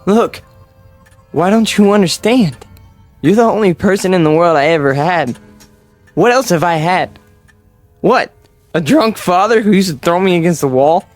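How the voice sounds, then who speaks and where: An actor playing a needy, insecure young man in a radio drama, speaking in a pleading, halting, emotional way. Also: sits high, expressive, faint music behind. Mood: pleading, desperate. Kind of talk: vintage-style radio drama acting.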